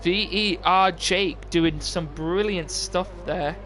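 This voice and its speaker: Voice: medium pitch, takes it slowly, fairly bright tone, faint music behind. A commentator giving live play-by-play of an online motor race on a stream. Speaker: esports racing commentator.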